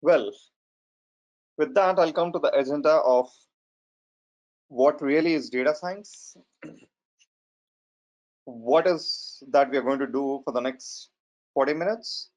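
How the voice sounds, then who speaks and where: A man in his forties with a strong Indian accent, giving an online lecture in a measured, hesitant way with fillers. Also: on the high side, darkish tone. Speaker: data science trainer. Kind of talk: webinar lecture. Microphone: computer mic on a video call. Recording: slightly muffled online webinar audio.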